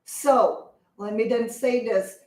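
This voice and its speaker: Woman co-host, emphatic lecturing delivery, slightly high voice, echoey room. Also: takes it slowly, darkish tone. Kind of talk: opinionated talk show discussion.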